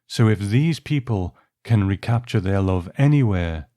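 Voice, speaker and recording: A man presenting scripted, analytical narration in a measured storytelling style. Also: fairly low pitch, lively intonation, dark tone. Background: music.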